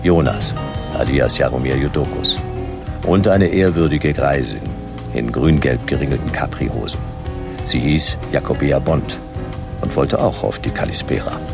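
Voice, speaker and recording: A very deep, creaky male voice, as a hard-boiled detective in a radio drama. He narrates drily and sardonically in clipped phrases, with music clearly behind him. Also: moderate pace. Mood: dry, sardonic. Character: laconic sardonic male private detective narrating his case. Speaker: narrator and lead character.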